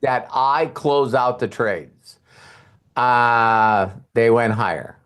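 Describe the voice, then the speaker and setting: A man's voice: medium pitch, extremely slow, a bit bright, clear, resonant tone. A man hosting a casual group call, giving frank, informal trading advice with some mild swearing. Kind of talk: casual coaching chat.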